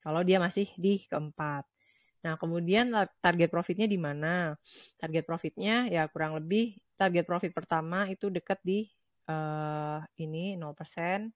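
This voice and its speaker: A woman's voice: on the low side, unhurried, no echo. A woman with a stuffy, nasal voice from a cold, explaining charts informally as a trading instructor. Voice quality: nasal, congested. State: has a cold. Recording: dull lo-fi close dry audio.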